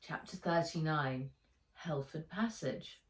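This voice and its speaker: A woman reading a children's adventure story aloud in an expressive, deliberate storytelling manner. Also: sits low. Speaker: author reading own book aloud. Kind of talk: dramatized children's audiobook narration. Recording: roomy echo, distant microphone.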